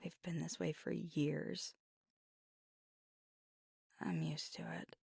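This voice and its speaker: A woman playing a weary, guarded werewolf girlfriend confiding in an intimate ASMR roleplay, in a halting, breathy near-whisper. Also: low-pitched, sing-song, no echo, studio quality, exaggerated voice. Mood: weary, frustrated, firm. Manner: halting, hushed, confessional, with pauses. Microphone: close microphone, dry.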